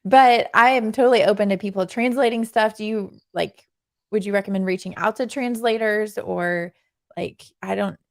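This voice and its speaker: A woman's voice: medium pitch, normal speed, gravelly. A woman hosting a podcast, asking curious and sometimes self-deprecating questions in a casual interview. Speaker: podcast host.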